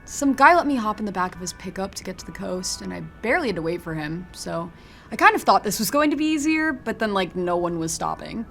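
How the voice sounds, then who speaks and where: A woman's voice: mid-range voice, expressive, brisk, gravelly, fairly bright tone, soft background music. A young actress playing a breezy but guarded teenage girl hitchhiker in a naturalistic audio drama, casual and a little sheepish.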